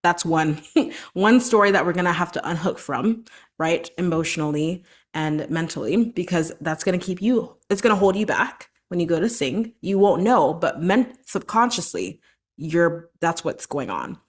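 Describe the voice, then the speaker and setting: Female vocal coach hosting a solo podcast; a little low in pitch, casual and encouraging, peppered with fillers. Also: expressive, normal speed, husky. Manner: chatty and encouraging, frequent "like" and "right?".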